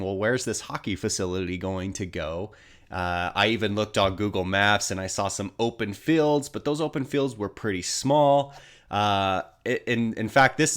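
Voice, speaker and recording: A man's voice: lowish voice, a bit bright, smooth. An American man getting over a cold, slightly nasal, giving casual hockey news commentary in a hesitant, rambling way.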